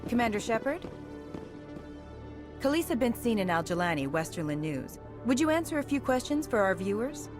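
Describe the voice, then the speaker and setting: An actress playing a probing, confrontational news reporter in a video game, polished and insinuating. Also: female voice, on the high side, sing-song, a little fast, music in the background. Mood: professional, formal.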